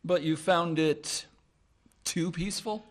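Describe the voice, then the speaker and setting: A smug rich rival. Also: male voice, on the high side, slow pace. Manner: smug, veiled menace. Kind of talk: radio play acting.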